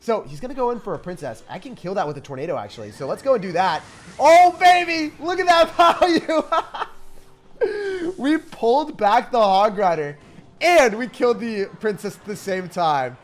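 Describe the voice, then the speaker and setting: A man's voice: very high-pitched, animated, moderate pace. A young man giving hyped, playful, slangy commentary over gameplay as a video host. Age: young adult.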